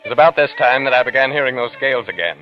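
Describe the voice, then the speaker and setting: A man's voice: medium pitch, animated, rapid-fire, close to an everyday voice. An actor playing a haunted, paranoid man who is tense and defensive, in old-time radio suspense style. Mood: haunted, brooding. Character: haunted, paranoid man under suspicion, narrating his unraveling. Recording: muffled old radio recording with music.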